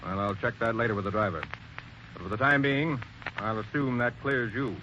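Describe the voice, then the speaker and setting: An actor playing a brisk, businesslike police sergeant in a 1940s radio mystery, questioning in a commanding way. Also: male voice, mid-range voice, lightly acted. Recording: dull old radio recording with faint hiss.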